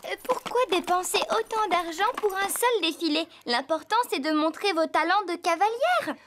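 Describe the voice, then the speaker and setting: Very high, kindly cartoon girl. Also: animated, moderate pace, fairly bright tone, a little hiss or hum. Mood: earnest. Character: kind, sensible, upbeat young girl horse rider. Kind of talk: French-dubbed children's cartoon acting. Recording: music clearly behind the voice.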